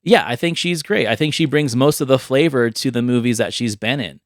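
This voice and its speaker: A man's voice: medium pitch, a little fast, no echo. Man hosting a dry, close-miked podcast. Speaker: podcast host. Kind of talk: casual film chat and movie pitching.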